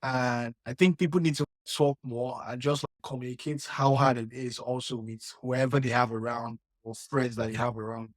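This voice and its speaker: A young man from Nigeria co-hosts a casual podcast, speaking in an earnest, reflective way and leaning on "like" as he thinks. His voice sits at a middling pitch. The recording is dry and close, with no room sound. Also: fairly even intonation, moderate pace, hardly any pauses, dry recording. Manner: earnest, reflective, many "like" fillers. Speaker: podcast co-host. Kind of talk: casual chat.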